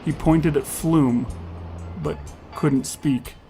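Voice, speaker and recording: A man's voice: a little high, steady tone, slow, warm tone, soft background music. A man narrating scripted fiction as the storyteller of an audio tale.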